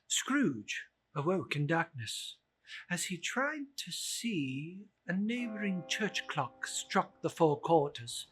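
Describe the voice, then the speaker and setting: A man's voice: fairly high pitch, very animated, slow, a bit bright, slight background noise. A man giving a dramatic narration of a classic literary story.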